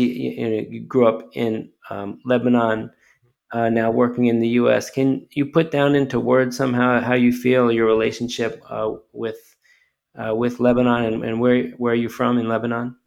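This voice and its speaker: Gravelly, hesitant male moderator. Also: mid-range voice, steady tone, darkish tone, dull audio. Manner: hesitant, halting with fillers. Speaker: host. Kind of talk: interview moderation.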